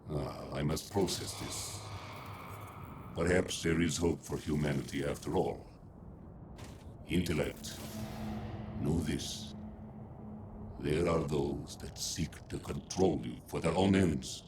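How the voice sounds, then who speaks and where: An actor voicing a solemn, cryptic machine overlord in a video game, speaking portentously and with superiority. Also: male voice, a bass voice, noisy background. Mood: solemn, probing. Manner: slow, portentous. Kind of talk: video game cutscene acting.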